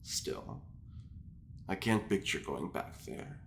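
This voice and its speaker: Slow, prim, self-important prisoner. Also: male voice, a bass voice, sing-song, creaky, heavily acted. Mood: wistful, haughty. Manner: affected, leisurely, theatrical, fussy. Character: prim, self-important male prisoner, scheming gossip feigning innocence. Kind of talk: audio drama diary monologue. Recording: roomy echo with faint background noise.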